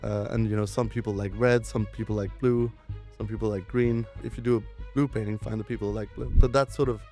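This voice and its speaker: Gravelly low-voiced young man. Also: fairly quick, soft background music. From Denmark, raised in southern France. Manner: relaxed, rambling with many fillers and restarts. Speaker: guest, venture capitalist. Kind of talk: casual interview storytelling.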